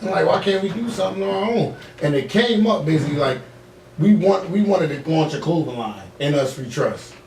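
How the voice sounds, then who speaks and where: A man hosting a casual podcast, talking in animated, profane slang. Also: on the high side, brisk, vocal fry. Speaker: podcast host. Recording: roomy, echoey, distant mic, background noise.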